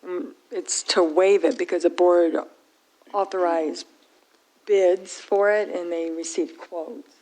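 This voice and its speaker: Board chair, woman, slightly low voice, going hoarse, brisk procedural delivery. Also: steady tone, a little mellow, low-quality recording. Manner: brisk procedural calls for votes. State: losing her voice. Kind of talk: running a public meeting. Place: township board meeting room.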